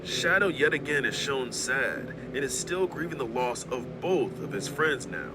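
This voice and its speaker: A man's voice: medium pitch, animated, measured pace, noisy background. A man reading a scripted explainer narration about lore. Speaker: video essay narrator. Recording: loud music behind the voice. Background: loud background music.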